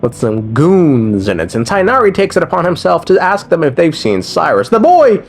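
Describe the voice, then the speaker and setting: A man's voice: fairly high pitch, sing-song, moderate pace, faint music behind. A man narrating a video game story recap in a casual, scripted way, with slangy, irreverent asides.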